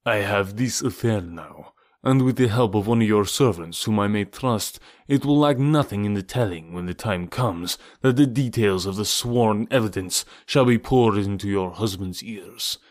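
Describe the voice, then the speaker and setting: A man voicing a cruel, mocking male villain in an audiobook, slow and menacing. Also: on the low side, no echo, clean studio sound, natural voice.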